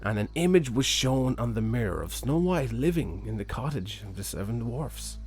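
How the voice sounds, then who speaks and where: A man's voice: mid-range voice, expressive, moderate pace, darkish tone, soft background music. A man reading a fairy tale expressively as a children's storyteller.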